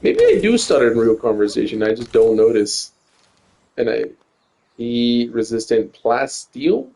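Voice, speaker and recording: A man's voice: medium pitch, very animated, unhurried, creaky, warm tone, rough recording. A young man talking casually while playing a game on stream, rambling, stuttering and restarting his words, and swearing often. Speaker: gaming streamer. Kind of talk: casual gameplay commentary.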